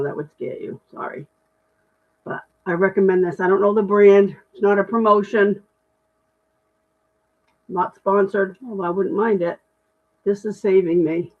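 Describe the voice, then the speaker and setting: Middle-aged woman with a deep voice, casual and candid livestream host, thin phone-quality audio. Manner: chatty, self-deprecating, candid. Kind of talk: casual livestream chat reading comments.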